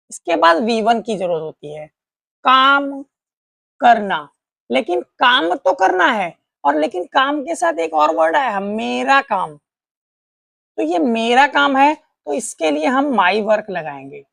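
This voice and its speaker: A woman teaches grammar patiently, step by step, asking questions and answering them herself. Her voice sits somewhat high, with a gravelly edge. The sound is dry and close. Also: fairly bright tone, no echo. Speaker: English teacher. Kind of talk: classroom grammar lesson.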